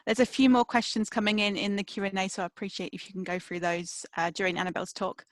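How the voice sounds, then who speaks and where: Brisk woman moderator introducing a speaker. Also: medium pitch, level tone, dry recording. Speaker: webinar moderator.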